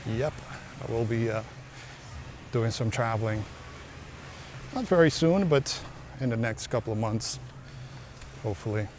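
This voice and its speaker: Laid-back guy vlogging on a beach walk, talks real slow, waves and surf loud behind him. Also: medium pitch. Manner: unhurried, easygoing, repeats words while strolling. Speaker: travel vlogger. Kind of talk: casual walking vlog narration. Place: outdoors on a beach at sunset. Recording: outdoor beach recording with surf noise.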